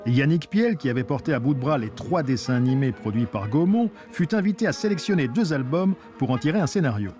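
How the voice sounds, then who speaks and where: Male narrator for scripted documentary, mid-pitched, music underneath. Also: animated, a little fast, hardly any pauses, a little mellow.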